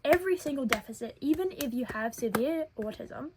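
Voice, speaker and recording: A young woman with a childlike head voice, telling her own story in an earnest, thoughtful vlog with frequent filler pauses. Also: high voice, lively intonation, noisy background. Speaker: web series creator.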